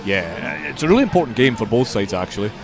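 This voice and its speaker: A man giving conversational sports punditry as a radio guest, measured and repeating words for emphasis. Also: mid-range voice, very animated, a crowd in the background.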